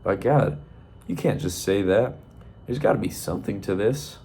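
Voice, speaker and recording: A man voicing a blustering male rooster on a spiritual quest, exclaiming loudly. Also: on the low side, expressive, normal speed, vocal fry, a little hiss or hum. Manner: bold, exclaims "by God".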